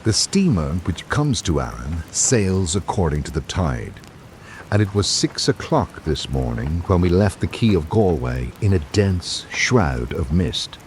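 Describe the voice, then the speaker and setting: A man hosting and narrating in a scripted old-time radio drama style, with dramatic, measured delivery. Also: low voice, very animated, a little mellow, a little hiss or hum.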